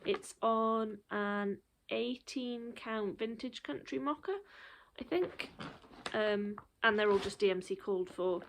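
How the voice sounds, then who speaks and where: A woman's voice: fairly high pitch, slow pace, slight background noise, rough recording. A woman in her thirties chatting casually through a show-and-tell of her cross stitch projects, rambling with frequent ums and a little out of breath.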